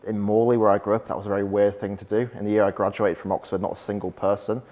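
A young man with a softened Yorkshire accent and a lowish voice talks as a podcast guest. His speech is chatty and anecdotal, full of "like" fillers. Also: level tone, fast. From West Yorkshire, England. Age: young adult. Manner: chatty, storytelling, frequent "like" fillers. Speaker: podcast guest, startup founder. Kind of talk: casual interview chat.

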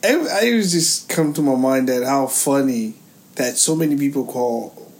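A man's voice: mid-range voice, animated, a little slow. A man in his thirties from Haiti co-hosting a podcast, goofy and jokey, rambling through his story. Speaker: podcast co-host. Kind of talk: casual chat.

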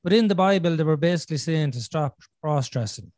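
A man, from Ireland, hosting a podcast and talking in a casual, earnest way, thinking out loud as he goes. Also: fairly high pitch, expressive, fairly quick, no room sound, clean studio sound. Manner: earnest, thinking aloud with restarts and "you know". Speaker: podcast host. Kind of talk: casual conversational podcast chat.

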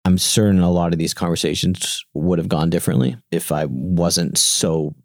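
Deep-voiced man, halting and animated. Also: no room sound, clean studio sound. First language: Gujarati. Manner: halting, many restarts and fillers, animated quoting. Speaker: startup founder, guest. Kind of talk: casual interview conversation.

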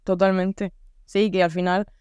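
Young Canarian woman, very clear voice. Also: medium pitch, little pitch movement, a little slow, darkish tone, no room sound, clean studio sound. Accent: Canarian Spanish. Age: young adult. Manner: friendly, agreeable interviewer, casual slang. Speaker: podcast host. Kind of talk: casual podcast interview.

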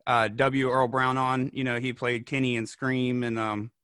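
A man's voice: medium pitch, flat delivery, a little slow, fairly bright tone, clean tone, dry recording, clean studio sound. A man hosting a horror podcast, chatting casually with lots of fillers. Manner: laid-back, full of fillers. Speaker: podcast host. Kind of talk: casual chat.

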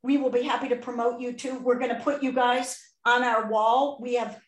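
A woman in her sixties with a Chicago accent, hosting a podcast interview in a chatty, animated way. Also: fairly high pitch, normal speed, reverberant. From Chicago, USA. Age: 60s. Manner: chatty, animated, laughs often. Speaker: podcast host. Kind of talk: casual interview chat.

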